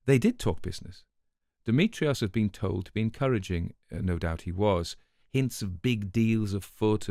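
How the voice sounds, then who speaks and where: A man narrating a crime story from a script in a measured, articulate, dramatised way. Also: on the low side, a little mellow, dry recording, clean studio sound.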